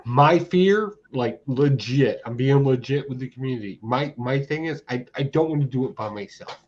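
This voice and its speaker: A man's voice: medium pitch, animated, normal speed, a little mellow. A man in his forties hosting a casual chat, hyped and egging others on. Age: 40s. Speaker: host. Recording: dull lo-fi sound with faint noise.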